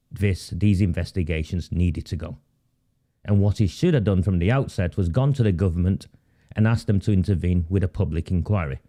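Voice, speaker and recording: Campaigner, male, low heavy voice, congested, indignant and repetitive. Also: warm tone. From Oldham, England. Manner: indignant, repeats key points for emphasis. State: sniffly with a heavy cold. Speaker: independent campaigner presenting his channel. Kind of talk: emphatic video monologue. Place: at home.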